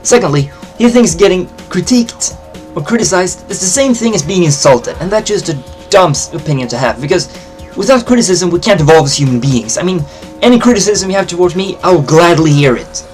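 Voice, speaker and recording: A man's voice: high voice, animated, a little mellow, faint music behind. A man with a thick Swedish accent, bluntly listing complaints in a rant. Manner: blunt, lists points quickly. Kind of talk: ranting to camera.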